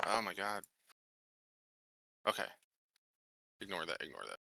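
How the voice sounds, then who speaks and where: A man's voice: low voice, very animated, unhurried, gravelly, pushed and bright, a little hiss or hum, low-quality recording. A man playing a tabletop game, talking casually and hesitantly, often repeating his words.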